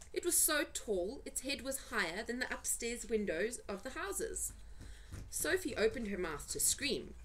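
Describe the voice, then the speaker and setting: A woman's voice: mid-range voice, a bit bright. A woman reading a children's story aloud, with dramatic, suspenseful delivery and emphasized words. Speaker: amateur storyteller reading for family. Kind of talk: expressive children's storybook narration.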